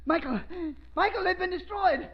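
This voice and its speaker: High-pitched, frail, vengeful old professor. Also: male voice, normal speed, a loud crowd. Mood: distraught. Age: older. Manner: stammering, repeating words, agitated. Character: frail vengeful old male professor, excitable plotting villain. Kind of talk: 1930s radio drama acting. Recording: muffled lo-fi 1930s radio recording.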